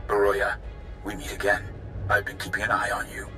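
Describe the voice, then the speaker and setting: A man playing a wary, hawkish warrior advisor in a video game, with a grim, deliberate manner. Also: on the low side, flat delivery, faint music behind, close to an everyday voice. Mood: guarded. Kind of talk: dramatic video game acting.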